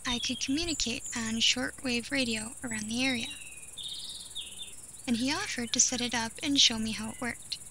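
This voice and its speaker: A woman narrates a first-person horror tale in a fairly high voice. Her delivery is measured and deliberate, broken by storyteller's pauses. Clear outdoor ambience of insects and birds runs behind her words. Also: steady tone. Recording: clear background noise of nature ambience.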